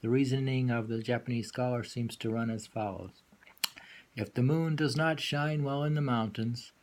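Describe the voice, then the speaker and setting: A man giving an informal poetry reading with commentary, musing haltingly and repeating phrases as he explains. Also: medium pitch, steady tone, darkish tone, a little hiss or hum.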